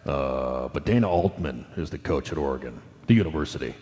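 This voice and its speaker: An American man playing a slow, mild sports radio sidekick with a very deep voice, hesitant, literal and deadpan. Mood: mild, pedantic. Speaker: studio co-host. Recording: muffled audio, long reverb, faint music.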